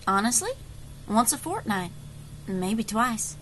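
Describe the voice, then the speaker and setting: A woman playing a confident, folksy frontier leader in an audio drama, wry and commanding, with calm menace. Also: on the high side, takes it slowly, heavily acted. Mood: firm, unflustered.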